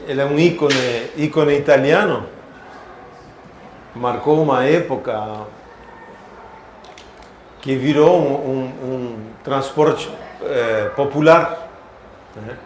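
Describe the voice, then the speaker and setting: A man from Argentina with an Argentine accent, calmly telling the story of his old motorcycles and car in a casual talk. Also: mid-range voice, roomy sound, a little hiss or hum, poor audio. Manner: unhurried, fond of his vehicles, frequent tag questions. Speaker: classic vehicle collector.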